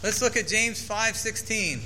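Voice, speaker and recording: A man's voice: sits high, a little slow, pushed and bright, a little hiss or hum, rough recording. A man preaching a sermon to his church, earnestly reading scripture aloud and explaining it. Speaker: pastor.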